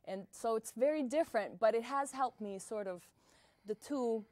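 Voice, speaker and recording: Soft-spoken young woman from Bosnia. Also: a little high, normal speed, no room sound. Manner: soft-spoken, hesitant, trailing off with fillers. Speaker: singer-songwriter guest. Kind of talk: casual interview answers.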